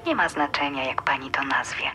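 An actress playing a composed therapist who asks probing questions in a measured, formal way, in an audio drama. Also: female voice, extremely low pitch, expressive, over music. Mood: firm, soothing. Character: composed, probing female therapist on a remote session.

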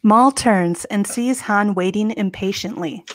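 A woman's voice: medium pitch, unhurried, no echo. A woman reading scripted stage directions as narrator of a comedy table read. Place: home room. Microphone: home video call.

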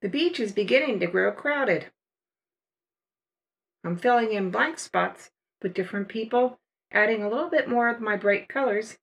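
An older woman narrating a watercolor painting demonstration as a voice-over, explaining each step in a relaxed, unhurried way. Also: a little high, gravelly. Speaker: watercolor art teacher.

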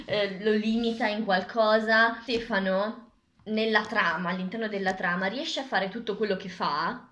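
A woman's voice: mid-range voice, fairly even intonation, normal speed, a bit bright. A woman in her twenties casually debating as a reviewer, picked up by a camera across a table in a small kitchen. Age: 20s. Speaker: book reviewer. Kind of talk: casual discussion of a graphic novel. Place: small tiled kitchen, indoors. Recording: echoey room, camera at a distance.

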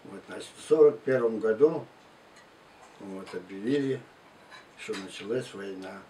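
A man in his nineties from Russia, slowly and haltingly recounting his life story in an interview. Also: lowish voice, warm tone. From Tula region and Moscow outskirts, Russia. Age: 92, elderly. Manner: halting, unhurried, with restarts and pauses. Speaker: war veteran interviewee. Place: living room at home, indoors. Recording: distant mic, faint room noise.

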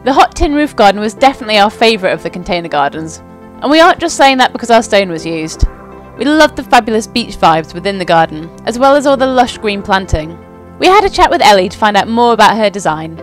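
A woman's voice: medium pitch, very animated, fast, few pauses, soft background music. A young woman giving an upbeat, scripted voice-over narration for a company video. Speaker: company presenter. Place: outdoors at a flower show.

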